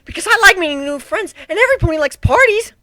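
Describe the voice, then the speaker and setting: A squeaky, bouncing young pony girl, put on by a male reader, her voice leaping wildly high and loud. She gushes every word in a rush of excitement. The storybook voice is clipped and dry. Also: fast talker, no echo, full character voice. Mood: bubbly. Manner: gushing, breathless excitement. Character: hyper bubbly young female pony.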